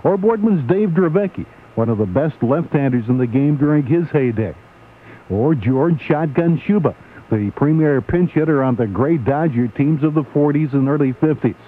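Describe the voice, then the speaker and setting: A man reading a scripted sports commentary on the radio with measured, emphatic announcer delivery. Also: on the high side, lively intonation. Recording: tinny AM radio aircheck, faint hum.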